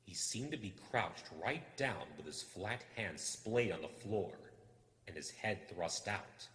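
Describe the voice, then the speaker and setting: Male narrator for suspenseful fiction: theatrical, low-pitched, deliberately slow, in a reverberant space. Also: lively intonation, fairly bright tone. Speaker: audiobook reader. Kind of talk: dramatic storytelling narration. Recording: echoey, long reverb.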